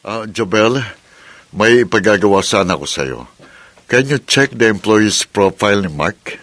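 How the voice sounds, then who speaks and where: A man's voice: lowish voice, lively intonation, unhurried, fairly bright tone, dry recording. A man acting a businesslike, suspicious bank boss in an office drama, with a Filipino accent. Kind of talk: acted office drama dialogue.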